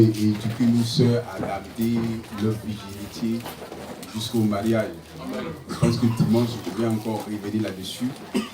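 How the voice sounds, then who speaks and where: Pastor in his forties, echoey church. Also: on the low side. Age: 40s. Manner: punctuates phrases with alleluia and amen. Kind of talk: sermon. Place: church hall. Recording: echoey hall, distant mic, noisy.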